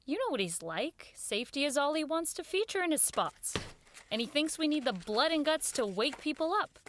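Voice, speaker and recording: A woman's voice: high voice, sing-song, normal speed, a bit bright, a little hiss or hum. A woman playing a haunted but level-headed radio station worker in an audio drama. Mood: concerned, insistent. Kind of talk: radio drama acting and first-person narration.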